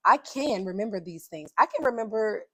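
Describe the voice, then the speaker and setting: A woman hosting a podcast conversation, animated and affirming, quick to laugh along. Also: mid-range voice, husky, dry recording.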